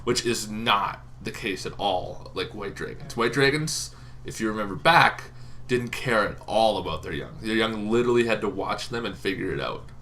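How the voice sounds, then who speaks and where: A man with a fairly low voice talks through game lore like a channel host. His delivery is informal and unscripted, halting as he thinks aloud. There is some faint noise behind him. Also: expressive, pushed and bright. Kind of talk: casual off-the-cuff explainer. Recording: noticeable background noise.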